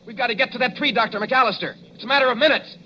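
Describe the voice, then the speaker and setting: An actor playing a brisk wartime hero investigator, with urgent, commanding 1940s radio acting. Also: male voice, extremely high pitch, pushed and bright. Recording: dull noisy old radio broadcast.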